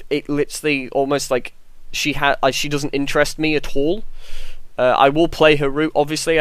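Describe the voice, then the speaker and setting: A man's voice: medium pitch, clean tone, no room sound. A man chatting casually on a podcast, hesitant and circling through his thoughts with lots of "like". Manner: hesitant, circling, repeats "like" heavily.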